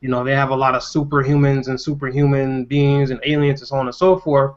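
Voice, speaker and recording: A man's voice: medium pitch, little pitch movement, fast talker. A man giving his opinions in an explanatory way as a panelist in a casual group discussion. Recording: dull lo-fi recording.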